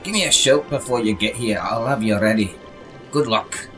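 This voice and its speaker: A man's voice: mid-range voice, normal speed, a bit bright, close to an everyday voice. A man voicing a small, blunt, matey creature who keeps a potion shop in a fantasy audio drama. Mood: businesslike. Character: small genderless teal creature, gruff frank potion shopkeeper. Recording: music behind the voice.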